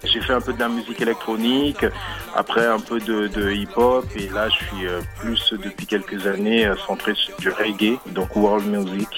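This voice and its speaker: A man's voice: lowish voice, little pitch movement, moderate pace. A man from French Guiana answering interview questions as a reggae musician, hesitant, with many fillers and repeated words. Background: music playing under the voice.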